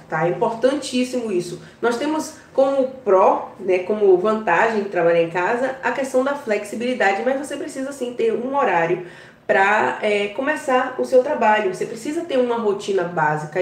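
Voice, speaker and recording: A woman with a Bahian Portuguese accent giving casual advice to her viewers, often checking in with a quick "tá?". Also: a little high, roomy sound, recorded from a distance. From Brazil. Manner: chatty, keeps adding "tá?" to check in with viewers. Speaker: online business vlogger.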